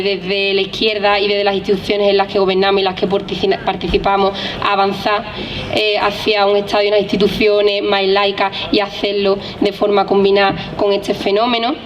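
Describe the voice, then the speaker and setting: A woman with a Spain Spanish accent speaks as a debate moderator, her voice congested from a cold. Her pitch stays level and she often halts to repeat a word before going on. She is heard from a distance through a dull recording, with faint room ambience behind her. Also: slight background noise. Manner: halting, often repeating words as she searches for phrasing. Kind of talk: introducing a debate at a workshop.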